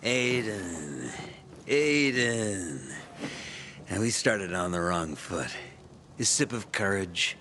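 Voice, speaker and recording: An actor playing a gruff, boastful, hard-drinking young male fighter in a video game, talking brashly and suspiciously. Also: low-pitched, expressive, slow pace, long pauses, pushed and bright, a little hiss or hum, full character voice.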